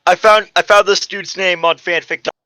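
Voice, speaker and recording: A man's voice: sits high, fairly even intonation, moderate pace, sharp tone, smooth, dry recording. A man in a casual group voice chat, talking informally with exaggerated exasperation.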